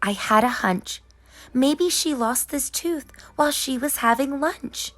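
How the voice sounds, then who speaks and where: A woman reading as a busy, whimsical, gently scolding tooth fairy in a children's storybook, in rhyme. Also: high-pitched, animated, unhurried, exaggerated voice. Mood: realizing. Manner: sing-song rhyming delivery. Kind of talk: children's storybook narration in character.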